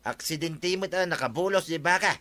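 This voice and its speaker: A man's voice: fairly high pitch, fairly quick, crowd noise, lightly acted. A man with an Ilocano accent acting a scheming, excuse-making neighbour in a radio comedy drama. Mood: defensive.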